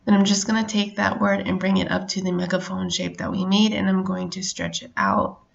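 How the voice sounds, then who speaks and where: A middle-aged woman narrating a casual how-to as a craft tutorial host, explaining step by step in a relaxed way. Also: medium pitch, fairly quick, gravelly, dull audio.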